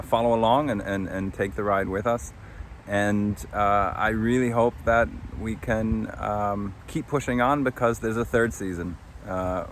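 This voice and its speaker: Male podcast host, lowish voice, hesitant unscripted delivery, recorded outdoors with slight ambient noise. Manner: hesitant, halting with long pauses. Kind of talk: casual unscripted recap. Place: outdoors under a tree.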